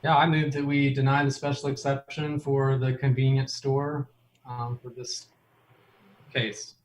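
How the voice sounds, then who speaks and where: A man's voice: medium pitch, level tone, measured pace, poor audio. A man serving as a board member, formally putting a motion at a public meeting. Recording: clear background noise on meeting audio.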